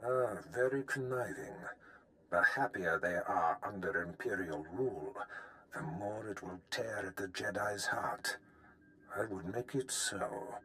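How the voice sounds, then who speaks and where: An actor playing a sinister, scheming Sith master in a video game, with a deliberate, grandiose and formal delivery. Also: male voice, fairly low pitch, fairly bright tone, poor audio. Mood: gloating. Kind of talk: theatrical video game villain acting.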